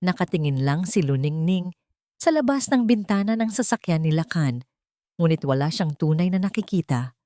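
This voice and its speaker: A woman's voice: lowish voice, sing-song, normal speed, dark tone, no echo, clean studio sound. A woman giving a dramatic, scripted narration of a story.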